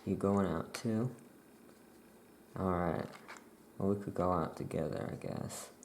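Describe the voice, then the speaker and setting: A soft-spoken ASMR performer playing a laid-back witch shopkeeper, murmuring in an unhurried way while writing. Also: low voice. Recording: roomy echo, faint background noise.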